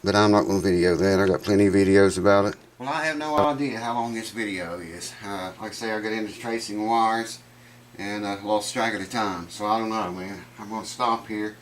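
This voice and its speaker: Congested guy with a lowish voice, folksy and excited, fixing up a guitar in his workshop, bit of faint hum behind. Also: a little fast. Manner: folksy, enthusiastic, says "man" a lot. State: congested, stuffed-up nose. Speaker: guitar repair channel host. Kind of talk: casual workshop vlog.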